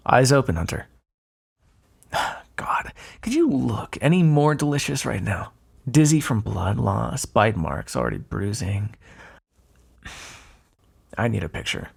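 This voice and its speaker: Man playing a breathy, gravelly, teasing male vampire. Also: mid-range voice, heavily acted. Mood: teasing, smug, then hungry, admiring. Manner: teasing, drawling asides with soft sighs and chuckles. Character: teasing, flirtatious male vampire, smugly predatory yet caretaking. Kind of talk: ASMR roleplay, intimate second-person acting.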